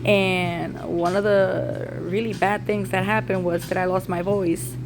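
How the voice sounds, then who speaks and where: A woman from Puerto Rico talks through her makeup tutorial, explaining each step as she applies it. Her voice is hoarse and raspy from a recent illness, and music plays clearly in the background. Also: on the low side, little pitch movement, a little slow. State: hoarse, recovering from lost voice. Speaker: beauty content creator. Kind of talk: casual makeup tutorial vlog. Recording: music playing behind.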